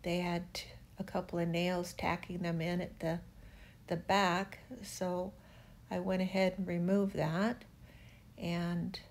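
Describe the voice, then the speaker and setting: An older woman narrating her furniture restoration in a relaxed, chatty way, gently enthusiastic about the wood. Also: lowish voice. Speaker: furniture refinisher.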